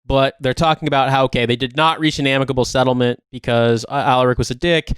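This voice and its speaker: A man's voice: medium pitch, fairly even intonation, quick pace, a bit bright, clean tone, dry recording. Emphatic, sweary man, clean dry sound. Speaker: podcast co-host. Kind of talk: casual podcast banter, commentary.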